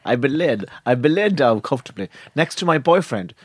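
A man's voice: mid-range voice, sing-song, fairly quick, husky, no room sound, studio quality. An Irish man co-hosting a podcast, teasing his co-hosts in casual banter and dropping into a mock whisper for jokes. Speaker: podcast co-host.

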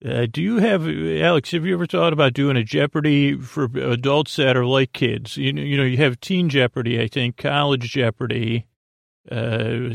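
A man hosting a bedtime podcast in a creaky voice, with a soothing, halting, tangent-filled ramble that stumbles over words. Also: mid-range voice, dry recording, studio quality. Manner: soothing, lulling, whimsical. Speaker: podcast host. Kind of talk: rambling bedtime monologue to help listeners sleep.

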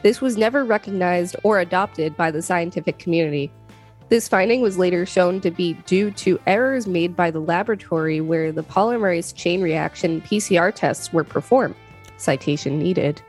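A woman's voice: on the low side, moderate pace, a little mellow, faint music behind. A woman co-hosting a podcast, reading an encyclopedia article aloud and commenting on it. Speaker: podcast co-host.

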